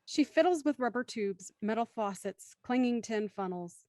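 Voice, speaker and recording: A woman's voice: on the high side, animated, normal speed, warm tone, no echo, studio quality. A woman reading scripted scene narration for an audio drama.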